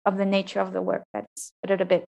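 High-voiced woman, frank interview guest. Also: flat delivery, fast, vocal fry, darkish tone. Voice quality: fairly high-pitched voice. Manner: thoughtful, frank, with frequent restarts. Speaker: interview guest, PhD graduate. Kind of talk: reflective interview answers.

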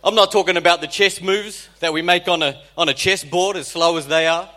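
New Zealand man preaching, bright high voice. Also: fairly even intonation, fairly quick. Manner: conversational preaching with jokes and emphatic repetition. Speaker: pastor. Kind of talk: sermon.

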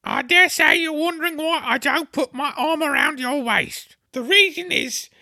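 A man voicing a fussy, moralizing older Duchess in a shrill falsetto, with a sing-song, drawn-out lecturing manner. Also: gravelly, sharp tone, full character voice. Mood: preachy. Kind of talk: audiobook character voice.

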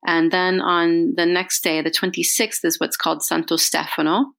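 A woman leaving an upbeat, chatty voice message as a podcast contributor, slowing down to stress points. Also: lowish voice, flat delivery, gravelly, a bit bright. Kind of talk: recorded voice message.

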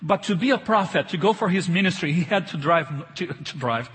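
A middle-aged male pastor delivers a sermon in accented, non-native English. His voice is high and husky, and he speaks emphatically but haltingly, doubling back on phrases. It rings with long reverb in a large hall. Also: expressive, fairly bright tone. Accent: foreign. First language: not English. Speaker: pastor preaching.

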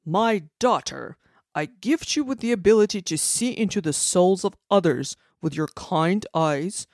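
A woman voicing a mighty male sun god who proclaims slowly and loudly. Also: fairly low pitch, dry recording, clean studio sound. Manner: loud, slow proclamation.

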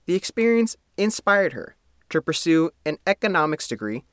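A man narrating a scripted profile story as its reporter, reading in a measured, formal way. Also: on the high side, very animated, a bit bright, smooth, no room sound, clean studio sound.

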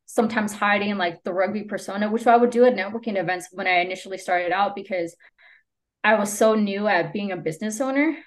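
A woman's voice: medium pitch, fairly quick. A woman whose first language is Spanish, chatting casually as a podcast guest, peppering her informal talk with "like". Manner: informal, frequent "like" as filler. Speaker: podcast guest, copywriter and business owner. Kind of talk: casual interview conversation.

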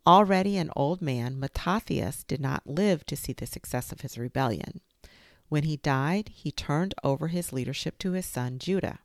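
A woman hosting a storytime show, reading a children's history book aloud in a gentle, careful storytelling manner. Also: low voice, normal speed, no room sound, clean studio sound. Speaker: storytime reader.

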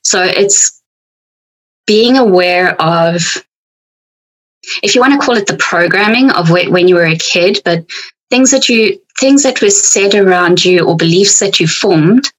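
A woman's voice: mid-range voice, measured pace, poor audio. A middle-aged woman with a South African accent, a coach talking frankly and reflectively in a podcast conversation. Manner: frank, reflective, speaks to herself in quoted thoughts.

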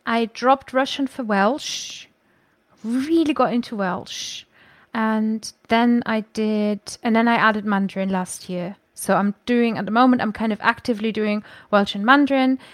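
Woman, podcast guest, chatty, self-correcting, high-ish pitch, dry recording. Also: unhurried, dry recording. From Germany. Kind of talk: casual conversational storytelling.

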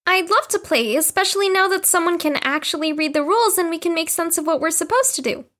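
A woman's voice: very high voice, fast, fairly bright tone. A woman playing a kind, eager young girl in an audio drama. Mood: eager, practical.

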